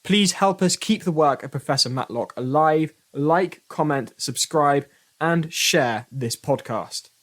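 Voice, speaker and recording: A man's voice: on the high side, unhurried, a bit bright. A man hosting a horror anthology podcast, reading a scripted outro. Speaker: podcast host.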